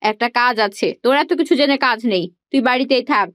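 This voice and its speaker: A woman's voice: a little high, fast, fairly bright tone, no room sound, studio quality, natural voice. A woman playing a scheming, bossy village sister-in-law in a cartoon fairy tale, scolding and giving orders. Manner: scolding, commanding.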